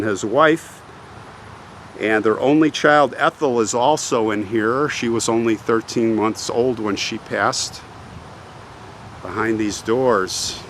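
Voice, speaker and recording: A man's voice: medium pitch, fairly bright tone, a little hiss or hum. A man telling a story on location as a video host, in a theatrical, suspenseful way, repeating phrases for effect. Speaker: host of a cemetery history video channel. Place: outdoors, walking in a cemetery.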